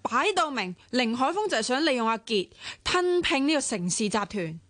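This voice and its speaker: A woman's voice: on the high side, sing-song, moderate pace, fairly bright tone, no room sound. Feisty, suspicious young woman. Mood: indignant. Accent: Hong Kong Cantonese. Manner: blunt, exclamatory, impatient. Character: feisty, suspicious, impulsive young woman turned amateur sleuth. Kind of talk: Hong Kong radio drama acting.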